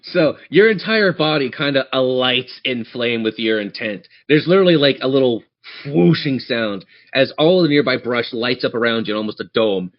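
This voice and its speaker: A man acts as game master for a tabletop campaign. He improvises descriptive narration and turns to address his players directly. His pitch is average, and the recording is a little dull and lo-fi. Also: expressive, moderate pace, hardly any pauses.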